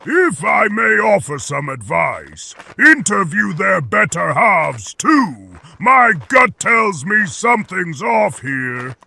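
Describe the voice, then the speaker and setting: An actor playing a crude, blustering army colonel in an animated satire, barking his words with profane emphasis. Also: high-pitched, takes it slowly, gravelly, hardly any pauses, a little hiss or hum, exaggerated voice. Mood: cocky. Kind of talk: animated noir satire voice acting.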